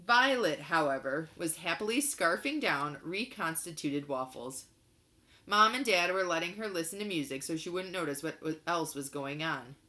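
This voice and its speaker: Bright-voiced woman reading a story aloud. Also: sits low, lively intonation, normal speed. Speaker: read-aloud narrator. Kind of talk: expressive storybook reading of a children's novel.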